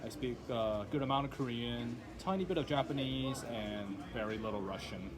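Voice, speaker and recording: A young man whose first language is Cantonese, talking in a relaxed, casual way with plenty of ums and uhs. His voice sits at an ordinary pitch, and a crowd chatters clearly in the background. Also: moderate pace. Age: young adult. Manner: relaxed, frequent fillers. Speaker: linguistics student. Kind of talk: casual chat.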